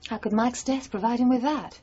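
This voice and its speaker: A woman's voice: fairly high pitch, fairly even intonation, a little fast, warm tone, breathy edge. An actress in a radio drama playing a self-possessed, independent woman with a composed, questioning and assertive manner. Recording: dull, slightly muffled 1980s radio broadcast.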